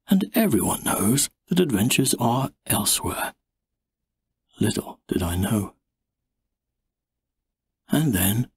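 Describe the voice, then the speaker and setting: A man narrating an audiobook in an expressive, dramatic storybook style. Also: on the low side, slightly rough, no echo.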